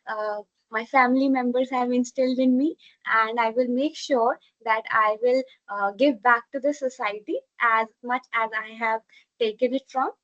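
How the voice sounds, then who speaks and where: An earnest woman speaks steadily over a video call, giving an impromptu speech. Her voice is somewhat high. The call audio sounds dull and lo-fi.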